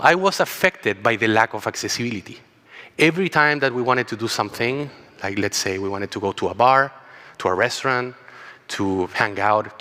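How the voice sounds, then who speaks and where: A man's voice: lowish voice, bright, forceful tone. Chilean man speaking in a ringing hall. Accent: Chilean Spanish. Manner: earnest, storytelling, with repeated words and restarts. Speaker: keynote speaker. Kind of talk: personal story talk. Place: large conference hall. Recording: long hall reverb.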